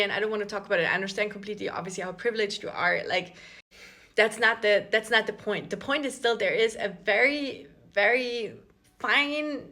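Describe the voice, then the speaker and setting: Bright-voiced woman with an Austrian accent. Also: on the high side. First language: German. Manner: chatty, animated, many fillers and restarts. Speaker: expat vlogger. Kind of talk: casual storytelling to camera.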